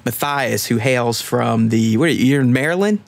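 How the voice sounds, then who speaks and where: A man's voice: medium pitch, moderate pace, creaky. Guy in his thirties hosting a podcast, loose casual chat, swears a bit. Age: 30s. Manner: informal, swearing. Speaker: podcast host. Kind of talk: casual panel discussion.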